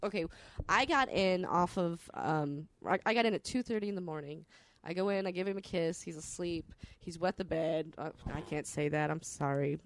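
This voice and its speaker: A woman, a podcast co-host, chatting and telling personal stories in an animated, bantering way with slang and some swearing. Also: on the low side, normal speed. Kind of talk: casual chat and personal storytelling.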